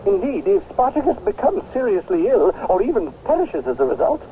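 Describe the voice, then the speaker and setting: An actor playing a fussy, indignant, pompous owner of a beloved animal, pleading theatrically in a 1970s radio drama. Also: male voice, sits high, very animated, brisk. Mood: pompous indignation. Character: fussy, indignant, melodramatic male whale owner. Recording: noisy, tinny 1970s radio broadcast.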